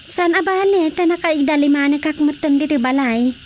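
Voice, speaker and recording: A woman's voice: high voice, normal speed, lightly acted. A woman playing a worried married daughter in a Filipino radio drama comedy, speaking expressively with a Filipino accent. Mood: worried, concerned. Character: worried married daughter, concerned for her quarreling parents.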